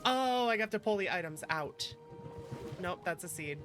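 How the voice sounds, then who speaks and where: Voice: mid-range voice, lively intonation, moderate pace. A playful, chatty VTuber streamer talking casually to viewers while playing a game, in a mid-range voice. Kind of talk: casual livestream chat, reading game dialogue aloud. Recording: music behind the voice. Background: game music.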